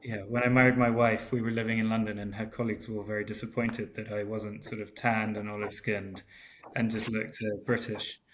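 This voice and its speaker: A man from Portugal talks casually on a remote call. He is relaxed and hesitant, with frequent ums and false starts. A faint hiss sits under his voice. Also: on the low side, fairly quick. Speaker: guest winemaker. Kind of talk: casual interview chat. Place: home in Porto, remote call.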